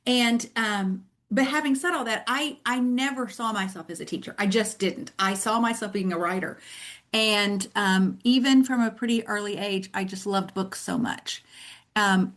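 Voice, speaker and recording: A woman's voice: medium pitch, a bit bright. A middle-aged woman from Tennessee with a Southern American accent, telling her story in an earnest, reflective way as a guest author in a casual interview.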